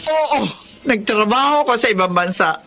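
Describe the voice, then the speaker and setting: High-voiced, emotional Filipina mother. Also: expressive, moderate pace, a bit bright, soft background music. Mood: hurt. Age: middle-aged. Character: loving, hurt middle-aged Filipina mother pleading with son. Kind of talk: emotional Filipino family melodrama acting.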